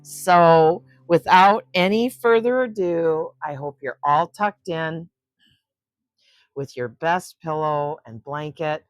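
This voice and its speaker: Slow, low-voiced older woman reading stories. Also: no echo, poor audio. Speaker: children's story-time host. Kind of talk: storybook read-aloud for children. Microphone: close microphone.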